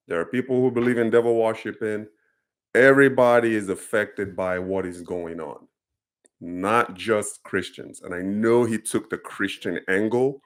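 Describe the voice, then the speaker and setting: A Nigerian man talking casually to camera, explaining things earnestly, with plenty of ums and restarts. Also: fairly low pitch. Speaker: commentator.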